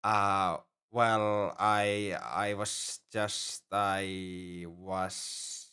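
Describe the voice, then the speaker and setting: Man acting as an anxious, low-voiced alien crewmate. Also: fairly even intonation, extremely slow, bright, forceful tone, clean tone, heavily acted. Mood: flustered. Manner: earnest, hesitant, slightly formal phrasing. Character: anxious, caring male alien crewmate on a spaceship. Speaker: ship crewmate tending a sick human. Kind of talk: ASMR roleplay acting.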